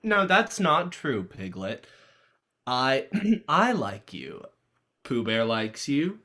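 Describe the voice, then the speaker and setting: A man's voice: mid-range voice, sing-song, slow, bright, forceful tone, heavily acted. A man playing an awkward, kindly teenage boy, hesitant and placating, in a comic stage play reading.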